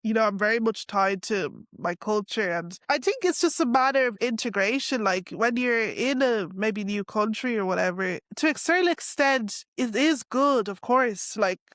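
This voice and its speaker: A woman's voice: fairly high pitch, lively intonation, normal speed, few pauses, fairly bright tone, no echo. A young woman, answering a podcast interviewer in a frank, earnest way and leaning on "like" and "you know". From Ireland. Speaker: interview guest.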